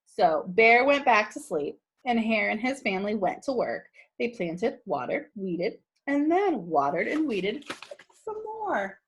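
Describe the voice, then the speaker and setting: A woman reads a children's picture book aloud in a fairly high, very animated voice. She goes slowly and sounds teacherly, as if checking that young listeners follow. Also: breathy edge. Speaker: read-aloud storyteller for children. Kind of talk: expressive picture-book reading with questions to children.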